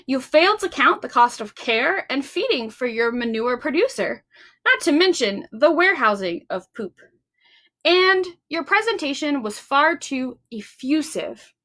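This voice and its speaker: A woman's voice: sits high, very animated, unhurried, fairly bright tone, exaggerated voice. A woman voicing a formal, matter-of-fact storybook father who is businesslike and dismissive.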